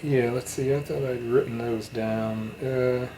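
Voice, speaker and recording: A man in a casual interview chat, reminiscing in a halting way. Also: medium pitch, steady tone, dark tone, slight background noise, rough recording. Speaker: interviewer, channel host.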